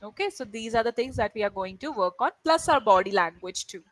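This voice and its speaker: A woman's voice: a little high, animated, brisk, dry recording. A woman whose first language is Malayalam, tutoring English over a video call and checking in often with her student. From India. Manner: encouraging, checks in with "right?" often. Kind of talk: online tutoring session.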